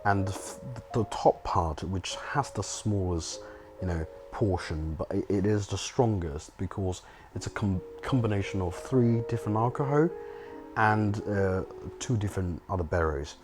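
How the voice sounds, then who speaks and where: A young man from China speaks in a deep, low voice, thinking aloud with frequent restarts and fillers. He talks reflectively in a documentary interview. Clear music plays underneath. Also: moderate pace. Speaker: filmmaker and home cook.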